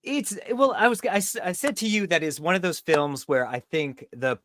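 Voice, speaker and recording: A man's voice: sits high, moderate pace, fairly bright tone, dry recording, clean studio sound. A middle-aged man hosting a podcast, chatting casually about films with playful, joking asides. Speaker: podcast host. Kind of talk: casual film chat.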